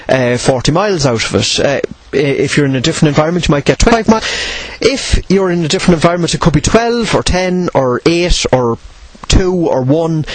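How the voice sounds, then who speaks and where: Man, tutorial presenter, somewhat high pitch, husky edge, measured explanatory delivery, dry close sound. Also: dry recording, poor audio. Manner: measured, explanatory, poses questions then answers them. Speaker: presenter of instructional radio videos.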